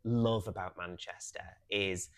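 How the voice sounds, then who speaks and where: Lower-voiced man from northeast England. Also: fairly even intonation, a little slow. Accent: northeast English. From Newcastle, northeast England. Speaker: podcast guest, hospitality professional. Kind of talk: casual interview chat.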